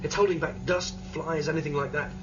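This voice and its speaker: A man's voice: a little high, steady tone, fairly quick, noisy background, close to an everyday voice. An actor playing an older man leading a break-in, explaining plans with hesitant restarts. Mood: tense. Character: older man leading an escape, calm planner. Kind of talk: radio drama acting. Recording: dull lo-fi radio drama with music.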